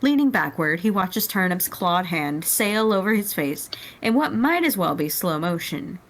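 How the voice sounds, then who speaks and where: A woman's voice: on the low side, big pitch swings, moderate pace. A woman reading scripted story narration aloud in a group dramatic reading.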